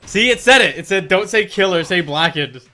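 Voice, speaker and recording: A man's voice: high voice, animated, brisk, pushed and bright. A man chatting casually and playfully on a game livestream, with some swearing. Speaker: game streamer. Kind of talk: casual joking livestream chat. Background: video game music.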